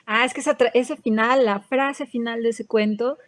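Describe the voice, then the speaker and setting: A woman guest, a translator, talking in a thoughtful, measured way. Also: fairly high pitch, steady tone. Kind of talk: casual conversation.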